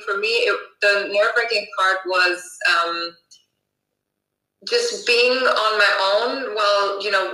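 A woman from Germany, a guest hypnotherapist chatting casually and pausing on lots of ums. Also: mid-range voice, bright, forceful tone, reverberant, rough recording. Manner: chatty, many ums and restarts. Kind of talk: casual podcast chat.

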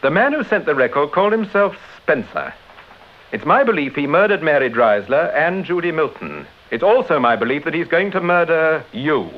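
Suave British detective, self-assured, urbane, highly animated melody, radio drama, dull vintage recording. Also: male voice, normal speed. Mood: grave, firm. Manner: urbane, unruffled, decisive.

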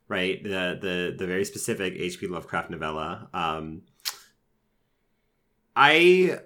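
A man with a lowish voice talks through his thoughts in a loose, casual podcast chat. He restarts his sentences often and drops in fillers as he picks at a film's pacing and characters. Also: animated, measured pace, pushed and bright. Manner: conversational, frequent fillers and restarts, mock voices when quoting characters. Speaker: film reviewer.

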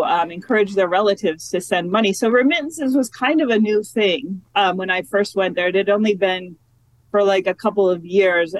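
A woman geography professor talking as an interview guest, chatty and relaxed, with lots of fillers and restarts. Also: mid-range voice, no echo, low-quality recording. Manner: chatty, many fillers and restarts, laughs easily. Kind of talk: casual academic interview.

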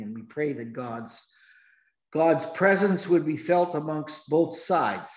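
Slow, earnest pastor in a roomy church. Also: male voice, fairly high pitch. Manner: slow, halting, earnest with many restarts. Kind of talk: sermon. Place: church sanctuary. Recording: roomy, dull church recording.

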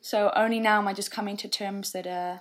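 A young woman, a podcast guest, talking thoughtfully in casual conversation with frequent fillers and soft laughs. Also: mid-range voice, fairly even intonation, a little fast, a crowd in the background. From England.

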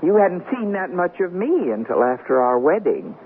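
An actress playing a teasing, jealous newlywed wife in an old-time radio comedy, bantering dryly. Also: female voice, lowish voice, big pitch swings, moderate pace, phone-quality sound. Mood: playful jealousy. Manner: dry, bantering. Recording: thin, noisy vintage radio recording.

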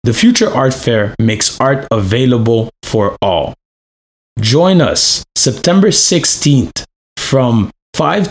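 A man, the host of a podcast, reading an upbeat promotional intro. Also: medium pitch.

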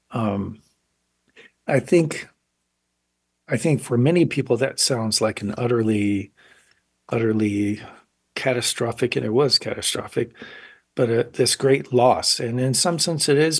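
A man in his sixties, an artist interviewed on radio, speaking in a thoughtful, halting way and often pausing to find words. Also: medium pitch, husky. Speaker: painter, interview guest. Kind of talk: reflective interview talk.

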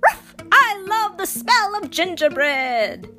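An eager storybook dog, played by a woman, high and drawn-out. It calls loudly and barks its words over music. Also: big pitch swings, full character voice. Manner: barking, loud calling.

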